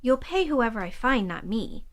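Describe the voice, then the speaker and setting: A woman's voice: on the high side, very animated, normal speed. A woman playing a young kitchen witch in an audio drama, frank and wry but ready to help. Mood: practical, firm. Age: young adult. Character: blunt, skeptical but helpful young kitchen-witch friend.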